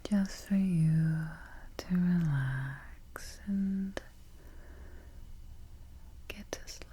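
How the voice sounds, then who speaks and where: A woman whispering softly and breathily in a gentle, soothing manner, guiding a sleep relaxation as an ASMR host up close to the microphone. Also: on the low side, a little hiss or hum. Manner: slow, gentle, soothing, repeats soft check-ins.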